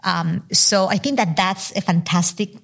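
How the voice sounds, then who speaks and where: A woman scholar speaking on an academic panel, for whom English is not her first language; candid, animated and self-deprecating. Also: mid-range voice, unhurried, creaky, slightly rough.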